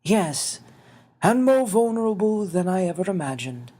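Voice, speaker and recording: Man voicing a high-pitched, solemn little old fairy man. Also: very animated, moderate pace, mellow. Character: tiny old winged fairy man, solemn, gentle, weary.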